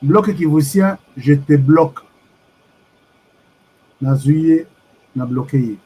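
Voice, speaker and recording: A Congolese man talks straight to his online viewers, his voice slightly husky and pitched a little high for a man. He keeps his commentary animated, drops in Lingala phrases and reacts to comments as they come in. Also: takes it slowly, low-quality recording. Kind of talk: live-streamed political commentary.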